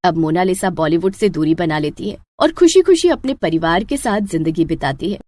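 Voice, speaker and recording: A woman narrating a scripted moral story as an expressive storyteller. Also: lowish voice, normal speed, no echo.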